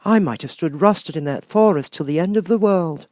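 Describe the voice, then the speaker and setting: A man's voice: a little high, moderate pace, a little mellow, dry recording, clean studio sound, voice pushed up, natural voice. A man voicing a tender, sincere man made of tin in a storybook, earnest and fond.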